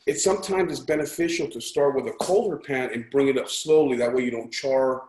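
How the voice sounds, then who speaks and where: A man's voice: medium pitch, a little fast, darkish tone. A man hosting a casual cooking class, chatty and encouraging, often checking in with his listeners as he demonstrates. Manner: upbeat, encouraging, chatty with frequent check-ins like "right?" and "okay?". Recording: echoey room, background noise.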